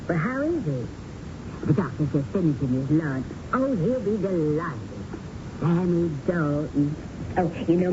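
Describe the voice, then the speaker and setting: Low-voiced, chattering older wife. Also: female voice. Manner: rambling, complaining, drawn-out words. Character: chatty, restless older Southern wife, fussy and unrequited. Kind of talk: 1970s radio drama acting. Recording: noisy, muffled old radio broadcast recording.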